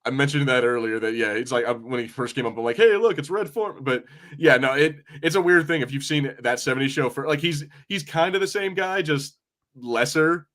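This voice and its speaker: A man's voice: medium pitch, fairly quick, fairly bright tone. A man co-hosting a podcast in casual film chat, rambling informally and often cutting himself off. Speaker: podcast co-host. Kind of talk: casual watch-party chat about films.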